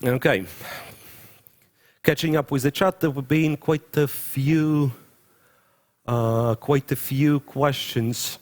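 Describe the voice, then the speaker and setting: A man's voice: mid-range voice, fairly even intonation, slow pace, pauses often. A young adult man with a Ukrainian accent, giving live commentary on a chess game, thinking aloud and often stopping to correct himself. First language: Russian.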